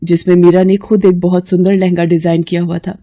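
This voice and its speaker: A woman's voice: fairly low pitch, level tone, fairly quick, dark tone, dry recording. A woman narrator reading a scripted moral story in a dramatic storytelling style.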